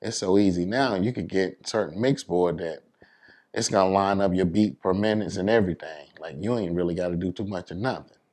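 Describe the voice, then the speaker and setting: A man with a low voice and an American accent chats casually in an interview. He explains his craft as a working DJ and often checks that the listener follows. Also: brisk, darkish tone. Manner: explanatory, repeats "you know what I'm saying". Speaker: DJ and entertainer being interviewed.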